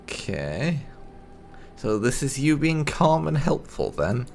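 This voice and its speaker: A man hosting a gaming video, giving casual, wry playthrough commentary with some light roleplay in a gravelly voice. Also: mid-range voice, big pitch swings, slow, darkish tone, a little hiss or hum. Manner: wry, sarcastic. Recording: game music behind. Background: game music.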